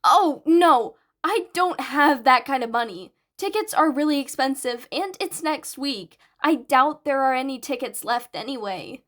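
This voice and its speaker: An eager, bright teenage boy, performed by a woman in an audio story. His voice sits fairly high, and he explains things in an earnest, analytical way. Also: lively intonation, a little slow, hardly any pauses. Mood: flustered. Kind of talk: audiobook character dialogue.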